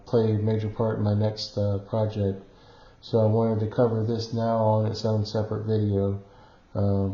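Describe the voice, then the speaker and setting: A man giving an unscripted technical demonstration, explaining in a halting, hesitant, improvised way. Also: on the low side, mellow. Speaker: electronics hobbyist demonstrating equipment. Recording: roomy echo, dull muffled sound.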